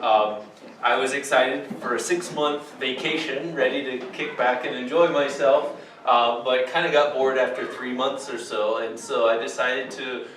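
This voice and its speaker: A man giving a translated voice-over, speaking plainly and measuredly with some fillers. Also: medium pitch. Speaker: voice-over interpreter. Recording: very echoey, distant, faint noise.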